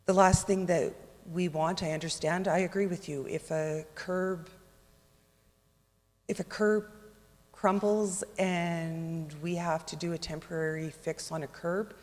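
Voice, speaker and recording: Hoarse guy making his case at council, kinda high voice, echoey chamber. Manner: earnest, halting, restarts phrases. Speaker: city councillor. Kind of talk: council debate speech.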